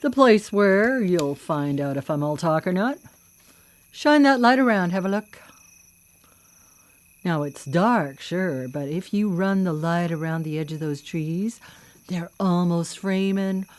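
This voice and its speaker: A vengeful female vigilante speaks in a lowish voice. She is cocky and mocking, with a cool menace, in the manner of live radio drama. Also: animated, a little slow. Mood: coolly menacing. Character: vengeful, taunting female vigilante killer who hunts predators.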